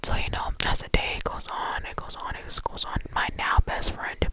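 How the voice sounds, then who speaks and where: Whispering young woman telling a story. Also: moderate pace. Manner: whispering, casual and rambling.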